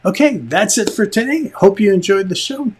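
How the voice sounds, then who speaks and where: A man with a slightly husky voice presenting a lesson as host, conversational and emphatic, with joking asides. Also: high-pitched, lively intonation, normal speed, slight background noise. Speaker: instructor and show host, film director.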